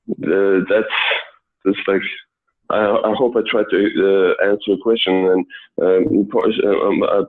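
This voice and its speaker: A man who grew up in Sweden answers interview questions in a halting way, full of "uh" fillers. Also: on the low side. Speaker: guest, Persian yoga instructor. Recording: tinny phone-like line.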